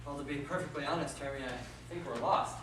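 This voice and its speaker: A man's voice: on the high side, a little fast, natural voice. A man playing a lovestruck young suitor. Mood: tender. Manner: earnest, playful, swinging to melodrama. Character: young male lover, impulsive and lovestruck. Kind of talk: stage play acting. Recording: echoey hall, distant mic, noisy.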